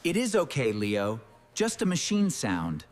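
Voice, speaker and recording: A woman putting on a deep, soothing cartoon dad. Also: wildly swooping pitch, a little slow, long reverb tail, exaggerated voice. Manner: soothing, short simple sentences. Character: gentle reassuring cartoon father.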